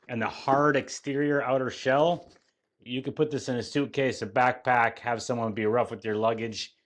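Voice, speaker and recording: A man narrating a headphone unboxing and demo in an upbeat, promotional manner. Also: mid-range voice. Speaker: product reviewer.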